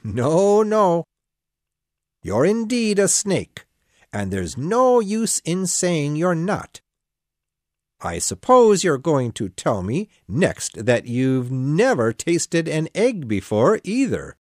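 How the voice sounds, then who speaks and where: A woman voicing a fretful, suspicious male pigeon in a storybook reading, with a shrill, accusing, stubborn manner. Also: big pitch swings, slow, darkish tone, no room sound, clean studio sound, exaggerated voice.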